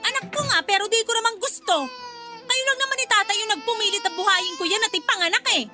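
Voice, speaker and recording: Very high-voiced, bitter young mother. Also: quick pace. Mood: defiant, whining. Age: young adult. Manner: irritated, sharp-tongued, whining back at parents. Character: bitter, defiant young mother who rejects her baby. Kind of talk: Tagalog radio drama acting. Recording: loud music under the voice.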